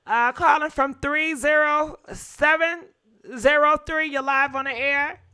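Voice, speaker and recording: A woman's voice: high voice, steady tone, unhurried, bright, forceful tone. A woman hosting a live gossip call-in show, acting out a preachy role play and teasing her callers.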